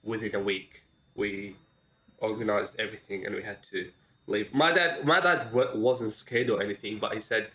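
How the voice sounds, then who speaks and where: Low-pitched young man telling his story casually. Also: normal speed, pushed and bright, rough recording. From Iraq. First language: Arabic. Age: young adult. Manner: informal, repeats phrases, frequent "like". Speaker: podcast guest.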